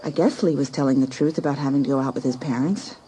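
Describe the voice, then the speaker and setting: A woman's voice: rumbling low, fast talker, dark tone, slight background noise, low-quality recording. A woman playing a scheming, competitive, jealous teenage girl in a dramatised audiobook, talking to herself in theatrical exclamations. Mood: scheming, defiant. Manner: theatrical, exclamatory self-talk. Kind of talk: dramatised audiobook acting, thinking aloud.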